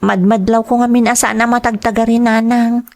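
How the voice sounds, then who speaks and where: A young, strong-willed and dutiful daughter in an Ilocano radio play, played by a woman. Her voice is a little high, and she has an Ilocano Filipino accent. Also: flat delivery, a little fast, a little mellow, close to an everyday voice. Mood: earnest, concerned. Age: young adult. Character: determined, dutiful grown daughter seeking work abroad. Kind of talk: dramatized audio drama acting.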